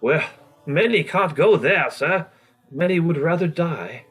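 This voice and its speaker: A man acts an earnest charity gentleman in a radio drama. The voice is husky and a little high, with lively intonation and a courteous, formal manner. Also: a little slow, heavily acted. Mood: gently reproachful. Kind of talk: theatrical radio play acting.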